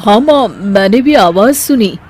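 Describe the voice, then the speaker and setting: A mild young cartoon husband voiced by a woman, earnest and concerned. The pitch sits in an ordinary female middle range, lowered a little for the role. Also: animated, a little slow, darkish tone, slightly rough. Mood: concerned, baffled. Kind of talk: cartoon moral story character acting.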